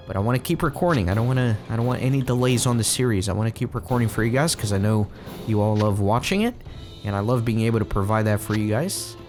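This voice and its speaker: Chatty man getting over a cold. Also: fairly low pitch. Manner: chatty, rambling, self-correcting. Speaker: let's play gamer. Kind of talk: casual gameplay commentary.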